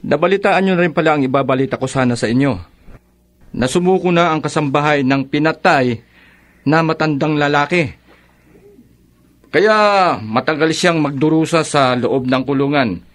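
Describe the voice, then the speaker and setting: A man as a solemn, higher-voiced police sergeant. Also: close to an everyday voice. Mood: grave. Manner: measured, solemn, declarative. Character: grave, matter-of-fact male police sergeant reporting a crime. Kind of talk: radio drama acting.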